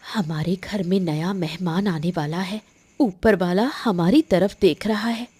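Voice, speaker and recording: A woman voicing an emotional, calculating village wife in a Hindi cartoon moral story, with earnest, deliberate dramatic delivery. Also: on the low side, a little mellow, husky, no room sound. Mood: tender joy.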